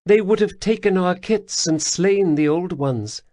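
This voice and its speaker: A man's voice: high-pitched, unhurried, darkish tone, no echo, clean studio sound, pitched above the performer's own voice. A man voicing a female Khajiit caravan leader, speaking in formal, slightly broken phrasing. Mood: earnest, grateful. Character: female Khajiit cat-folk caravan leader, proud, grateful. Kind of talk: audiobook character voice.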